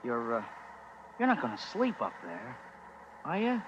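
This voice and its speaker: Radio elevator operator: kindly, respectful, halting, on a dull old noisy recording. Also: male voice, mid-range voice, huge pitch swings. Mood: hesitant, sympathetic. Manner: hesitant, respectful. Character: kindly, deferential male building lift operator. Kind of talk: old-time radio drama acting.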